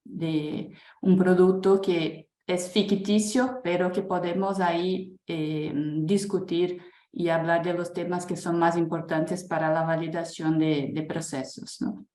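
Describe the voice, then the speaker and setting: A woman's voice: lowish voice, little pitch movement, unhurried, dark tone, roomy sound. A woman with a Brazilian Portuguese accent, a native Portuguese speaker, giving a webinar presentation over a video call, hesitant with many fillers and restarts. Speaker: guest speaker.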